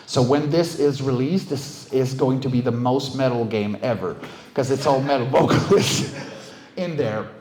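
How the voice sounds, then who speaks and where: A middle-aged man giving an informal talk about his work as a voice performer, telling anecdotes in an animated, casual way. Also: mid-range voice, moderate pace. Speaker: voice actor, director and coach giving a talk. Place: indoor event room, live talk. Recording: roomy, echoey live talk recording.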